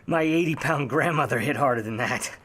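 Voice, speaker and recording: An actor playing a wry, wisecracking private eye in a 1950s noir radio play, narrating and trading slangy lines. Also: male voice, medium pitch, brisk, a bit bright, lightly acted. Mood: tough, pressing. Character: wry, grudging hardboiled male private eye.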